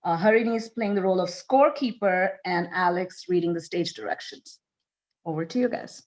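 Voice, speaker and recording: A woman's voice: on the low side, a little fast, darkish tone. A woman informally hosting an online showcase, introducing readers and asking for feedback. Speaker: host of the showcase.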